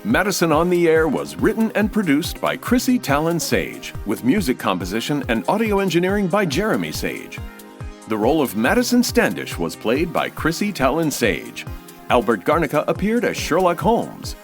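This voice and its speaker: Guy doing an old-time radio announcer, swoops all over, smooth talker, little music under it. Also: on the high side, huge pitch swings, normal speed. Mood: presentational.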